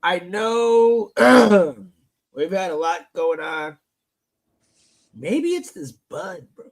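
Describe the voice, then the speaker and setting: A man hosting a live online panel, talking casually with a scratchy sore throat, crude and profane with loud outbursts. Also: high-pitched, expressive, extremely slow. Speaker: livestream host.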